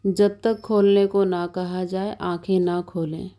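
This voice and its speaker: A woman leading a guided yoga nidra meditation as the instructor, giving calm, measured, soothing instructions with long pauses between them. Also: lowish voice. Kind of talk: slow guided meditation narration.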